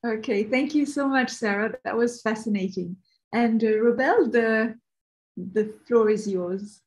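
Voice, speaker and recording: A woman's voice: fairly high pitch, a little slow, darkish tone. A woman moderating an online seminar over a video call, speaking briefly between talks.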